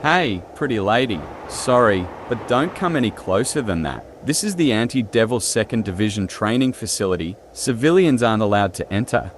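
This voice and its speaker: A man's voice: lowish voice, animated, moderate pace, few pauses, lightly acted. A man voicing a brash, flirty devil hunter squad leader in a manga fan dub, casual yet commanding. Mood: cocky, commanding. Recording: noisy track with street ambience.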